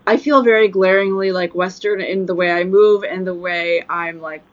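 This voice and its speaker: A woman's voice: lowish voice, fairly even intonation, normal speed. A woman whose first language is Japanese, in a loose, rambling chat. She fills her sentences with "like" and "um" and restarts often. The recording sounds a bit dull and lo-fi. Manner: rambling, many fillers and restarts. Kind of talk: casual chat.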